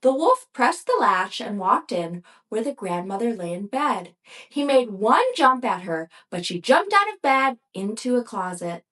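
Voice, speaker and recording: Slow, lively children's storyteller, woman. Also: on the high side. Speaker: storyteller and ventriloquist. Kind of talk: children's read-aloud.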